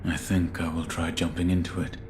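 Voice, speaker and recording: A man's voice: low voice, little pitch movement, a little fast, a little mellow, a little hiss or hum, natural voice. A man voicing a stoic, taciturn shinobi warrior in a video game, speaking in a terse, grave, restrained way. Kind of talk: English game voice acting.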